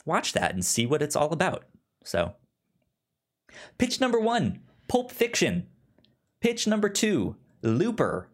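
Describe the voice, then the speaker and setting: A man co-hosting a casual film podcast, chatting in a halting way and often repeating words as he goes. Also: fairly high pitch, huge pitch swings. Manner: halting, with stuttered word repeats. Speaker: podcast co-host.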